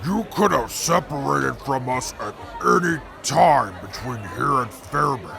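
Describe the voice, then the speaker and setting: A formal, measured companion, played by a man speaks slowly with heavy gravel and fry in the voice. His pitch moves in a lively way, with a faint crowd behind. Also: faint music behind, full character voice.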